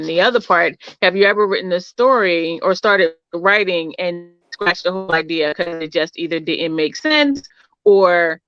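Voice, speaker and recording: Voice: medium pitch, bright, forceful tone, clear, resonant tone, dry recording. A podcast host asking long, rambling interview questions, often restarting mid-sentence and encouraging the guest with "mm-hmm". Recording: dull muffled dry sound.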